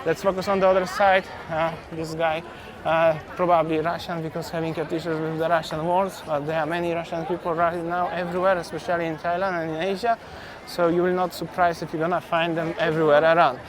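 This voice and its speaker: A man's voice: on the high side, little pitch movement, a little fast, hardly any pauses, a crowd in the background. A man from Poland with a Polish accent, walking and talking through a busy outdoor street market for his travel vlog, chatty and repeating his words. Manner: chatty, repeats words, simple non-native phrasing. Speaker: travel vlogger.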